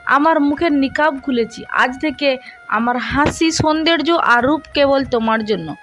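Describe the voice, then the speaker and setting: A woman storyteller voicing a shy, devout, gentle young wife who speaks politely and earnestly in a romantic story. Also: high-pitched, unhurried. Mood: tender.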